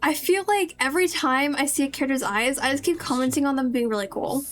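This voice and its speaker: A woman's voice: high-pitched, fast, fairly bright tone, faint music behind. A woman streaming a video game, chatting casually in a rambling, playful, self-deprecating way.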